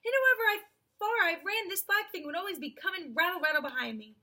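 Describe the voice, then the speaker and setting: Storybook horse, played by a woman: simple, thoughtful old workhorse, halting phrases, high bright voice. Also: animated, full character voice. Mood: musing. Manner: slow, musing, halting. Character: slow-witted, muddled, earnest talking male cart horse.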